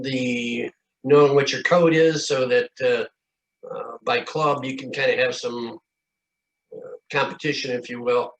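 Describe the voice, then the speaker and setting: Halting American man, dull call audio. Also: mid-range voice. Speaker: club meeting co-presenter. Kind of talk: informal screen-share walkthrough. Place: indoors on a video call.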